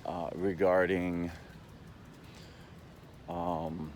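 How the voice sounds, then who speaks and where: A man giving a rambling, casual monologue as a vlogger, explaining in a halting way and checking in as he goes. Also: low-pitched, flat delivery, slight background noise.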